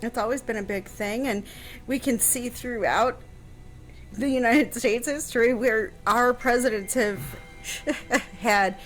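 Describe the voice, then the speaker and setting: A woman's voice: a little high, measured pace, fairly bright tone, soft background music. A woman chatting casually on a radio show, answering a history question with lots of fillers and restarts.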